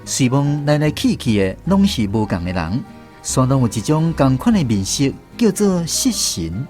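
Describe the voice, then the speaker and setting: A man's voice: medium pitch, big pitch swings, moderate pace, a little mellow, faint music behind. A man with a Taiwanese accent narrating a radio drama as a scripted storyteller.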